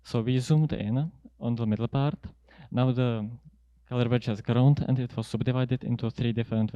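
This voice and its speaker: A young man giving a prepared academic talk as a student researcher, explaining in a measured, somewhat halting way. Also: mid-range voice, mellow, dry recording. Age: young adult. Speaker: student researcher presenting a paper. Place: indoor seminar room at a lectern. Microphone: handheld microphone close to mouth.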